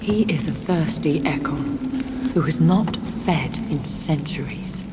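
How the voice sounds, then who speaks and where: A woman acting a refined, sorrowful vampire noblewoman in a video game, speaking in a formal, solemn, measured way. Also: low-pitched, very noisy. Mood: grave devotion. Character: refined, sorrowful, devoted female vampire noblewoman of great age. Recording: music and rain behind the voice.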